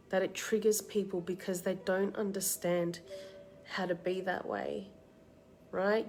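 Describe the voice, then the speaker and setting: A woman with a slight cold and a tickly throat, hosting a live card reading and giving soft, reassuring advice to viewers by name. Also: lowish voice. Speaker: card reader and meditation host. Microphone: live-stream setup.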